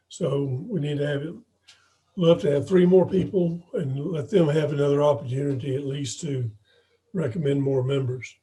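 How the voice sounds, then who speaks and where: Man, hoarse, mellow tone, slightly high pitch, halting delivery, informal meeting report, video call. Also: low-quality recording. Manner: halting, throat-clearing. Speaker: club president reporting to members. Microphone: video call microphone.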